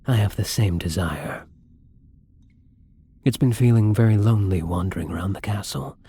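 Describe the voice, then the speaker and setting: A man playing a gentle, lonely, tormented vampire in an intimate ASMR roleplay, speaking softly and hesitantly in a breathy, brooding way, often near a whisper. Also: fairly low pitch. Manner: slow, hesitant, brooding, tender. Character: melancholy young male vampire, gentle, lonely, tormented, once human.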